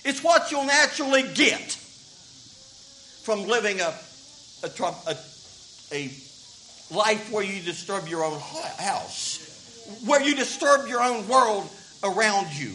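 High-voiced, bright pastor in his fifties. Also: animated, takes it slowly, pauses often, a little hiss or hum, rough recording. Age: 50s. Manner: folksy, repeats words when starting a thought. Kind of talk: sermon.

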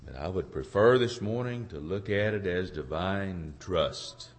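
A hoarse man preaching an informal sermon in a halting, folksy way, searching for his words and clearing his throat. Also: deep voice, animated. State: hoarse from a cold. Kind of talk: informal sermon with congregation responses.

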